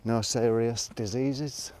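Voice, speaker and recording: A man talking casually to a vlog audience in a reflective, rambling monologue, musing haltingly and trailing off between thoughts. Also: mid-range voice, fairly even intonation, a little mellow. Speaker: vlogger. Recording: noticeable background noise, clicks.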